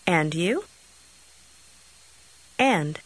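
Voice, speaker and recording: Husky woman modelling phrases. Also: on the low side, sing-song, very slow, low-quality recording. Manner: careful, clearly spaced delivery. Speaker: model speaker in a language course. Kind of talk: scripted phrase repetition.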